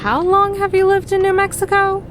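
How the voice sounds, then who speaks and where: A woman's voice: extremely high pitch, little pitch movement, moderate pace. An actress playing a dry, sarcastic, level-headed young woman in a visual novel, speaking in a matter-of-fact way. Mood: incredulous. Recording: loud music under the voice.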